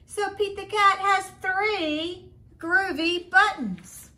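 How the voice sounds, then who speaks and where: A middle-aged woman reading a picture book aloud to children in an expressive, deliberate way. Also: very high voice. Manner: expressive, slow and deliberate for children, with sing-song refrains. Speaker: school principal, guest story reader. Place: small home room, indoors.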